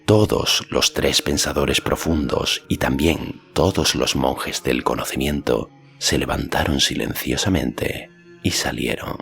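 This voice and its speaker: A man's voice: rumbling low, a little slow, vocal fry, a bit bright. A man reading a fantasy story as an audiobook narrator, in a scripted narration style. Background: faint music.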